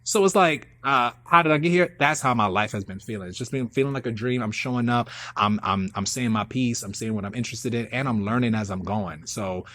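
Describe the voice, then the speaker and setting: Casting: male playwright-performer type, medium pitch, informal hype talk, slangy and emphatic repetition. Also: a little fast, few pauses, bright, forceful tone. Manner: hype-man slang, repeats phrases for emphasis. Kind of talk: casual self-promoting chat.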